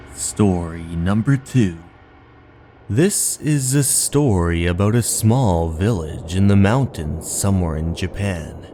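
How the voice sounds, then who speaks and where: A man's voice: sits low, darkish tone. A man narrating scripted horror stories in a dramatic, suspenseful manner. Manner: slow, dramatic, suspenseful delivery. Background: background music.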